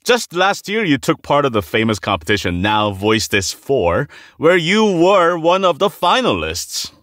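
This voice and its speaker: A man's voice: on the high side, big pitch swings, unhurried, a bit bright, no room sound, clean studio sound. A man hosting a podcast, interviewing in an enthusiastic conversational way.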